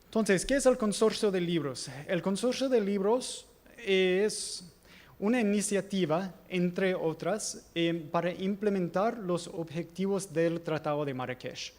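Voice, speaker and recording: A man's voice: sits high, unhurried, a little mellow. American man presenting hesitantly in Spanish. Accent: American English accent in Spanish. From United States. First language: English. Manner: hesitant, many fillers and self-corrections. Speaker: invited presenter. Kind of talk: conference presentation. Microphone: microphone.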